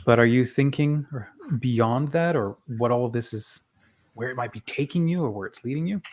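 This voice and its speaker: A man's voice: mid-range voice, normal speed, a little mellow. Young guy hosting a chatty interview show, upbeat, casual, leans on words. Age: young adult. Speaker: podcast show host. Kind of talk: casual interview and community promotion.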